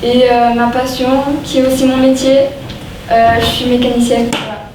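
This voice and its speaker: A woman's voice: a little high, little pitch movement, normal speed, close to an everyday voice. A woman acting a Belgian teenage girl who is a mechanic, talking in a hesitant, matter-of-fact way. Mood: plain. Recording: echoey hall from far off, noisy.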